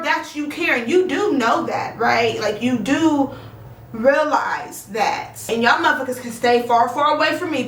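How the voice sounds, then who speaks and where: A young woman talking bluntly in a casual vlog, swearing freely and projecting a loud voice. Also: high voice. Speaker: vlogger. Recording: echoey room, voice a bit far from mic.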